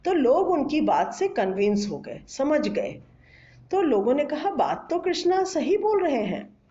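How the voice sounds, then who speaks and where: High-pitched, animated woman storyteller in echoey room. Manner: instructive, asks listeners questions. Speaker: storyteller for children. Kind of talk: children's bedtime storytelling. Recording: echoey room, slightly dull sound.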